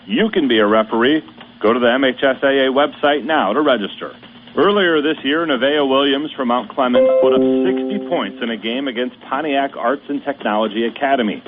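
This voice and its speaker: A man's voice: mid-range voice, loud music behind the voice. Man reading sports news on radio. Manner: steady, measured announcer delivery. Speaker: radio sports show host. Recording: tinny radio broadcast with faint hiss.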